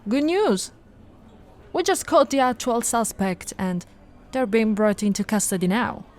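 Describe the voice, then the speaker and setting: A woman voicing a patient, teasing female police officer in an ASMR audio roleplay, speaking softly and coaxingly to the listener, recorded close to the mic. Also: a little high, animated, a little slow. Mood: matter-of-fact. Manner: soft-spoken, coaxing, playfully flirtatious. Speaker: ASMR voice actress.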